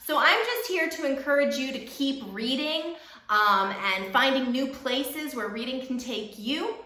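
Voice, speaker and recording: A woman teacher reading a children's storybook aloud in an expressive, encouraging way, speaking directly to young listeners. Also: high-pitched, measured pace, roomy sound.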